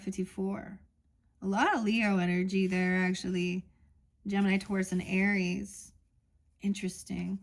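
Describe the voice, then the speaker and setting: A woman's voice: medium pitch, darkish tone. A woman giving a casual oracle card reading, musing aloud and reading the card texts. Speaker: tarot reader.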